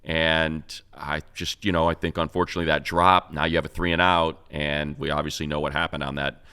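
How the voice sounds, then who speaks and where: A very low-voiced American man with a bright, forceful edge breaks down sports on a talk show. He is conversational and analytical, building his points as he goes in the style of a seasoned announcer. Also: flat delivery, brisk, smooth. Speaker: sports show co-host and radio play-by-play announcer.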